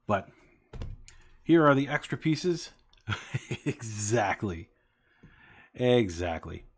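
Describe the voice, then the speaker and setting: A man's voice: lowish voice, big pitch swings, slow pace, a little hiss or hum. A man in his forties chatting casually with live-stream viewers, rambling with many fillers as he talks. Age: 40s. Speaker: streamer building toy sets.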